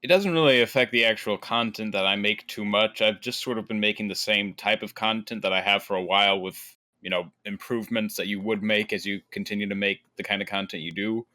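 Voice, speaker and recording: A man speaking as a guest creator in a casual podcast interview, explaining himself in a measured way. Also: lowish voice, steady tone, bright, forceful tone. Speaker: guest content creator and commentary YouTuber.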